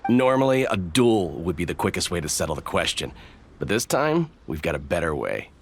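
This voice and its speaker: A man playing a gruff, plain-spoken veteran soldier in a video game, matter-of-fact and military. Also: low-pitched, very animated, fairly quick, exaggerated voice. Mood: challenging. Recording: faint noise behind the voice.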